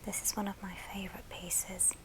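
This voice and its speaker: A woman speaking in a breathy, unvoiced whisper, gently presenting items in an ASMR show and tell with pauses between short phrases. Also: mid-range voice, little pitch movement. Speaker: ASMR video host.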